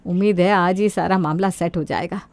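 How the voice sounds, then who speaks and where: A woman's voice: on the low side, fairly even intonation, a little fast, darkish tone, lightly acted. A woman voicing a greedy, sly middle-aged mother-in-law who feigns modesty in a sugary, wheedling way for a Hindi cartoon story. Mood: scheming, brisk.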